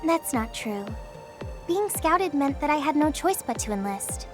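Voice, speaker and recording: A woman's voice: sits high, big pitch swings, a little slow, over music. An actress voicing a friendly, outgoing young female soldier in an anime game, casual and slightly coy. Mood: candid, playful.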